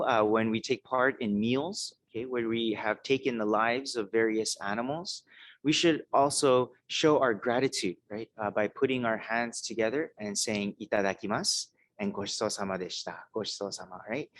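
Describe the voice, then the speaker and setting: Hoarse man giving a gentle sermon. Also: mid-range voice, no room sound. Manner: conversational, keeps checking in with "okay?" and "right?". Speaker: minister. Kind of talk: Dharma talk and children's story reading.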